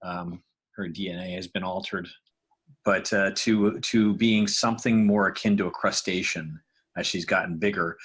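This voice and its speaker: A man's voice: lowish voice, fairly bright tone, husky. A man giving a casual, informal walkthrough as a podcast creator and sound designer, explaining loosely as he goes.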